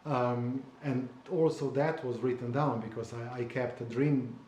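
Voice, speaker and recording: A man whose first language is Slovene talks about writing his memoir, pausing often and searching for precise words. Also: medium pitch, steady tone, a little mellow, echoey room, slight background noise. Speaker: memoir author. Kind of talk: conversational interview.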